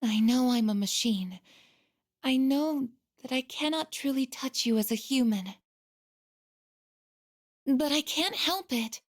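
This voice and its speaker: Android girl, game character, slow deliberate delivery, slightly high pitch, soft, earnest, shyly devoted. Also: animated, exaggerated voice. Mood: earnest, tender. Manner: soft, hesitant, deliberate, tender. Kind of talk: video game voice acting, emotional dialogue.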